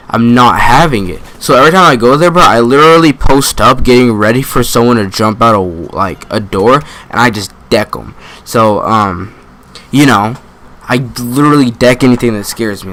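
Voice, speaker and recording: A man's voice: fairly low pitch, a little hiss or hum. A young man telling a story in a casual, slangy, profane style, acting out asides and joking at his own expense. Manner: animated retelling with quoted asides and self-deprecating jokes.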